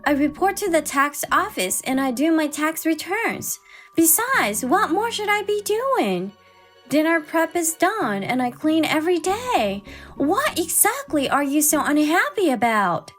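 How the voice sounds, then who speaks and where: A woman voicing a forty-year-old housewife and crafts artist in a dramatized story, expressive and exasperated yet caring. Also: sits high, exaggerated voice. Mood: exasperated, indignant. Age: 40s. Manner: expressive, indignant in dialogue, measured when narrating. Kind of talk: dramatized first-person story narration and dialogue. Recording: background music under the voice.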